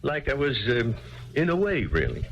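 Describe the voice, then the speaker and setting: A rambling, weary bartender. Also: male voice, mid-range voice, huge pitch swings, normal speed, a bit bright, slight background noise. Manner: rambling, searching for words. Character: weary male bartender who abandoned his family. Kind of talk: 1970s radio drama acting. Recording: dull lo-fi 1970s radio recording.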